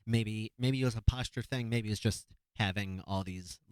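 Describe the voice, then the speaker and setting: A young man with a naturally low voice picks apart a performance in easy, halting commentary, full of "um" and restarts. The sound is dry and close, with no room around it. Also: clear, resonant tone, no echo. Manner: relaxed, hesitant, many fillers and restarts. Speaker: beatbox battle analyst. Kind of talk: casual commentary over a video.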